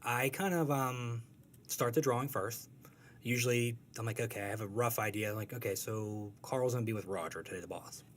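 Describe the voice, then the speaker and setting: A young man of middle pitch chats loosely about his work as a cartoonist, wandering through stories with plenty of "like" and "I'm like." His tone is self-deprecating and relaxed, with a faint hum of room ambience behind him. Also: fairly quick. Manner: rambling, self-deprecating storytelling. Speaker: comic strip creator, guest. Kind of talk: casual interview talk.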